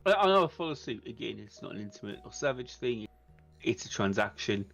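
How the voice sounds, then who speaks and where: A man's voice: mid-range voice, very animated, brisk, gravelly, a bit bright. A man describing his moves casually as a player in a tabletop game, on dull audio with music behind.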